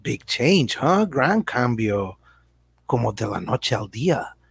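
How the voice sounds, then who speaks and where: A man's voice: medium pitch, very animated, takes it slowly, vocal fry, slightly rough, no room sound, heavily acted. A man playing a slick, evasive American businessman in a satirical radio drama, speaking Spanish with an American accent, hesitant and dropping in English words. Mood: persuasive, grandiose. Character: slick, evasive American businessman selling his multinational company.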